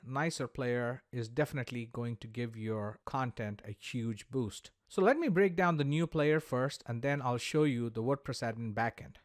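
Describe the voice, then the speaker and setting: A man presenting a software tutorial, explaining a product's features step by step in a demo narration. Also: medium pitch, no room sound, clean studio sound.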